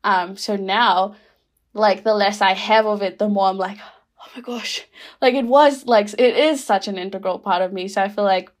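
A woman's voice: medium pitch, steady tone, moderate pace. Chatty young woman, heavy fillers. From Rotuma, Fiji. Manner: chatty, many fillers, laughs easily. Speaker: guest. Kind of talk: casual reflective conversation.

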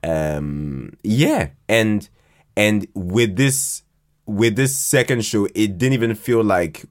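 A man with a slightly low voice in casual conversation. He talks at a slow pace, circling back and repeating words before he completes a thought. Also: lively intonation. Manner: halting, repeats words and restarts often. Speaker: podcast guest, fiction podcast creator. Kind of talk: casual chat.

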